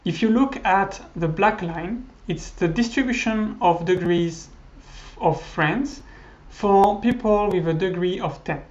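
A man's voice: high-pitched, measured pace. A man in his thirties whose first language is French, giving a recorded university lecture over slides, hesitant, with many fillers and restarts. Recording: slightly dull lo-fi audio.

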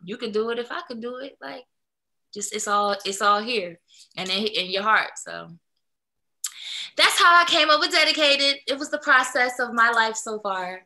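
A young woman from Philadelphia, a singer, telling her life story in a relaxed interview over a video call, with fillers and easy laughs. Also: a little high, moderate pace. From Philadelphia, USA. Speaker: singer, guest. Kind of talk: casual interview. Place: small indoor room.

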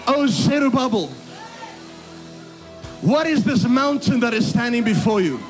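High-voiced preacher, loud and rising. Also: male voice, lively intonation, unhurried, soft background music. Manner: builds from quiet asides to loud shouted preaching; repeats phrases, asks the crowd if they follow. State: losing his voice. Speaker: pastor. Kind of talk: live sermon to a congregation.

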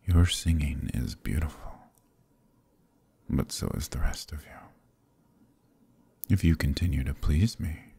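Very deep, gravelly and dark, this is a suave, possessive demon prince, played by a man in an audio roleplay. He murmurs close to the mic in a husky, purring near-whisper, commanding and indulgent at once. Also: full character voice. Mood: seductive. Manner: hushed, purring, often whispering. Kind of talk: ASMR roleplay.

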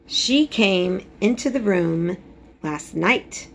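A woman's voice: medium pitch, animated, takes it slowly, creaky, a little hiss or hum. A woman voicing an imperious, self-assured sickly ten-year-old boy in a storybook, giving orders in a commanding, unbothered way. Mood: insistent.

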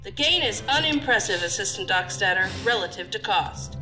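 A woman's voice: medium pitch, expressive, moderate pace, pushed and bright, faint music behind, rough recording. A woman playing a stern, critical deputy director of a federal agency in an audio drama, formal and authoritative. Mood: stern, disapproving.

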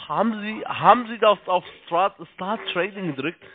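A man working as a call-centre agent, giving halting step-by-step instructions, repeating himself and spelling things out. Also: sits high, expressive. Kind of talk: step-by-step phone sales instructions. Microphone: telephone. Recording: tinny narrow phone line.

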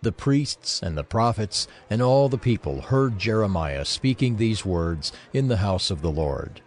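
A man's voice: lowish voice, sing-song, a little slow. A man narrating scripture from a script as the storyteller of an audio drama.

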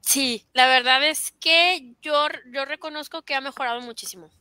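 Woman, Mexican Spanish accent, high bright voice, dry close sound, enthusiastic fan chat on a video call. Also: normal speed, no room sound. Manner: enthusiastic, emphatic agreement. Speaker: podcast co-host.

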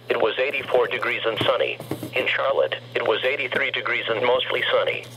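A man's voice: medium pitch, highs cut off, slight background noise. A synthetic American male voice reading an automated weather broadcast through a radio speaker. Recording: humming radio speaker picked up by camera.

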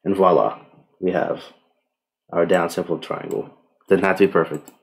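A man giving a casual software tutorial in a relaxed, unhurried way with tentative asides. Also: sits low. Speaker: tutorial presenter. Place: indoors, voice-over on screen recording.

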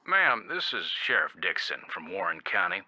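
An actor playing a formal, polite county sheriff in a radio drama, sober and hesitant. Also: male voice, sits low, big pitch swings, normal speed, noisy background. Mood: grave.

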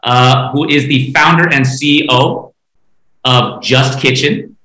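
A man's voice: medium pitch, fairly even intonation, takes it slowly, sharp tone. A man from Taiwan moderating an online panel discussion over a video call in an echoey room. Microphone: video call, laptop distance.

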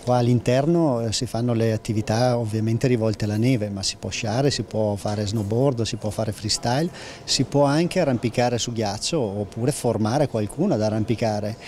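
A man's voice: on the low side, moderate pace, darkish tone. A man, explaining things in an interview as a spokesman. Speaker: project spokesman. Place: indoor lobby. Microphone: handheld reporter microphone.

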